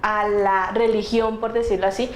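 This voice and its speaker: A woman's voice: medium pitch, flat delivery, moderate pace, slight background noise. A woman with a central Colombian accent from around Bogotá, answering as an interview guest in an earnest, conversational way. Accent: Colombian, central Andean around Bogotá. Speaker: interview guest speaking about faith. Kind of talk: casual conversational interview, personal explanation. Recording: roomy echo with music behind.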